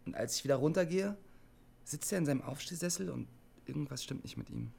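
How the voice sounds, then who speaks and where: An actor playing a lonely, troubled young radio operator in a German radio drama, terse and brooding. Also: male voice, fairly high pitch, expressive, fairly quick, gravelly, darkish tone, slightly rough, exaggerated voice. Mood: subdued narrating. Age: young adult. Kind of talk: German radio drama acting, intimate narration and dialogue.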